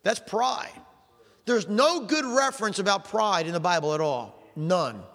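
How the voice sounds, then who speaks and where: High-voiced older preacher, fervent. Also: male voice, lively intonation, fairly bright tone. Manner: fervent preaching, slows and stresses scripture readings, asks the congregation questions. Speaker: pastor. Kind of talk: sermon. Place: church sanctuary. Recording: long reverb of a large hall.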